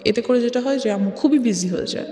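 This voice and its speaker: A woman's voice: a little high, quick pace, mellow. A woman with a Bangladeshi accent telling her own life story in an interview, mixing English phrases into her speech. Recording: echoey reverberant sound with music behind. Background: music.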